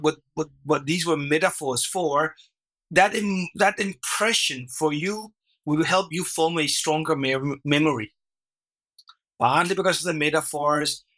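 A man whose first language is Danish, a workshop facilitator explaining his method as a podcast guest, animated and full of restarts and "right?". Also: a little high. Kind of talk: conversational interview.

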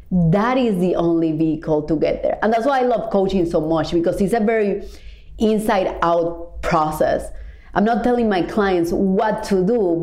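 A woman's voice: on the low side, dark tone. Earnest Cuban-born woman coach, echoey room. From Cuba. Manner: earnest, stretches key words, builds in repeated phrases. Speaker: life coach, podcast host. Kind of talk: reflective motivational monologue.

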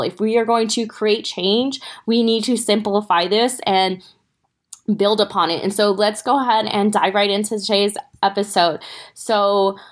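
A woman hosting a fitness podcast for mothers, sounding a little stuffy, talking casually and straight to her listeners with lots of "right?" asides. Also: mid-range voice. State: stuffy from a sore throat. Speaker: podcast host and fitness coach. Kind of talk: casual motivational podcast talk.

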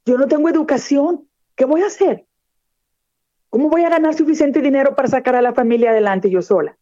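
Mexican woman radio host, middle-aged, encouraging coach, mellow tone, dry muffled recording. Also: high-pitched, brisk, no room sound. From northern Mexico. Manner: earnest, encouraging, addresses listener directly. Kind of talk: motivational talk on live radio show.

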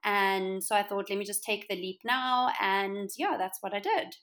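A young woman with a South African accent talks as a podcast guest. She rambles casually and loosely, with frequent ums and self-corrections. Her pitch sits in a middle range. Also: normal speed, fairly bright tone. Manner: chatty, many ums and restarts. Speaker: podcast guest, interior designer. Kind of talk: casual interview chat.

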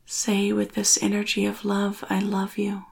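A woman leading a spiritual guided meditation in a hushed, breathy near-whisper, slow and soothing with long pauses. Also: medium pitch, monotone.

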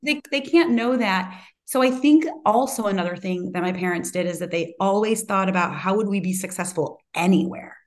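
A woman's voice: medium pitch, normal speed, few pauses. A woman speaking as an interview guest in a reflective, conversational way, self-assured and emphatic with a strong voice. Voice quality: loud, strong voice.

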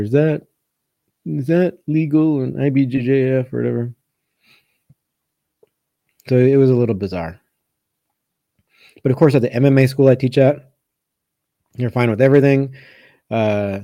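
Male coach for casual livestream Q&A. Warm, unforced voice, halting thinking-aloud delivery, dry acoustics. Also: mid-range voice, no room sound. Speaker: catch wrestling coach hosting a live Q&A. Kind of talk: casual live chat answering viewer comments.

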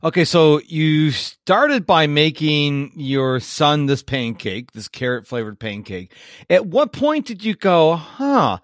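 A man's voice: on the high side, very animated, takes it slowly, no room sound, clean studio sound. A man in his fifties hosting an interview, chatty and prone to long rambling questions. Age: 50s. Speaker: podcast host. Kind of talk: casual interview.

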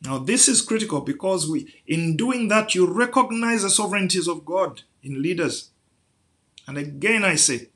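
A man's voice: sits high, a bit bright. A man preaching a sermon, building through rhetorical questions to emphatic peaks.

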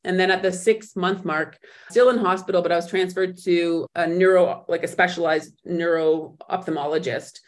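A woman guest on an interview podcast, recounting her own story in a reflective way, often pausing and restarting as she searches for words. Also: on the low side, fairly even intonation. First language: not German; speaks in English.

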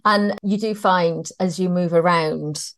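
A woman from North Wales with a Welsh accent, hosting a podcast and chatting in a warm, effusive way. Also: fairly low pitch, fairly even intonation, measured pace. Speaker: podcast host.

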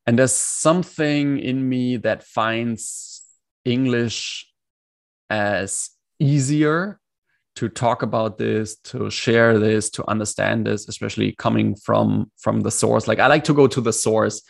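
A man's voice: on the low side, slow, gravelly. A man whose first language is German, speaking as a coach in a casual video-call interview, reflective and hesitant, often restarting his sentences. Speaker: coach, guest.

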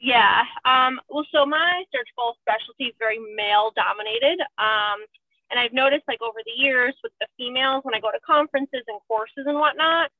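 A woman's voice: fairly high pitch, a little fast, dry recording. A woman in her thirties, a surgical resident, talking candidly and self-mockingly in a casual chat. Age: 30s. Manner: chatty, self-mocking, often laughing. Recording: tinny phone line.

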